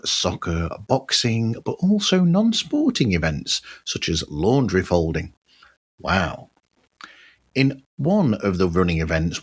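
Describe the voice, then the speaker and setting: A man with a husky voice hosting a podcast, reading a scripted news roundup with wry, musing asides. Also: low-pitched. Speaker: podcast host.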